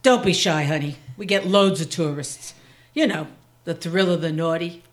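An actress playing a sex shop clerk who welcomes customers slowly and soothingly in a live radio play. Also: female voice, on the low side, lightly acted. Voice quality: slow, soothing. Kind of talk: live stage radio drama.

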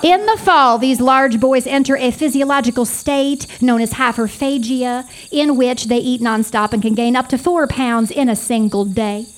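A woman's voice: sits high, moderate pace, few pauses, a little hiss or hum, lightly acted. A woman acting a brash, earnest wildlife photographer in a live stage comedy, emphatic and self-important. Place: small theater, live performance.

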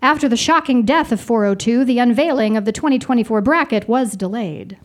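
A woman's voice: fairly high pitch, brisk. A woman reading a scripted news report. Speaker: news reader.

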